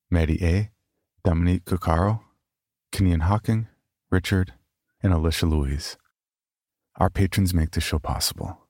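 A man hosting a horror podcast, reading its notices and then narrating a scary story in a deliberate, ominous way. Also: sits low, darkish tone, no room sound, clean studio sound.

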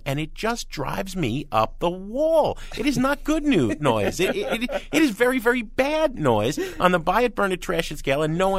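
A man's voice: high-pitched, no echo. A man, a music critic and co-host giving an opinionated, emphatic album review.

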